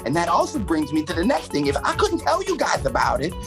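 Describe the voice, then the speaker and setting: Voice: mid-range voice, wildly swooping pitch, fast talker, over music. A radio personality delivering a worked-up, emphatic mock public service rant, indignant throughout.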